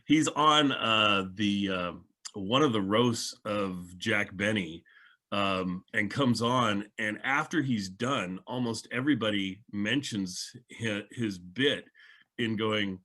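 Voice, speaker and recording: Male podcast host, lower register, chatty and rambling, informal panel talk. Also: takes it slowly, pushed and bright. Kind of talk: casual panel discussion.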